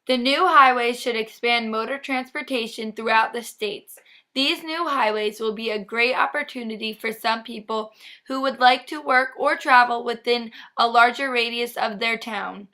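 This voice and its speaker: A young woman presents a scripted, 1950s-style student newscast. Her voice is on the high side with a bright, forward edge. The delivery is rehearsed and presentational. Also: moderate pace. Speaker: news anchor.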